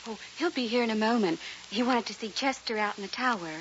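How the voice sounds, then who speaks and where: An actress playing an anxious, affectionate wife in a vintage radio drama, with an eager, earnest, expressive delivery. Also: female voice, a little high, quick pace, close to an everyday voice. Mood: glad, affectionate. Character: anxious, affectionate young wife in a haunted house. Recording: muffled hissy vintage radio recording.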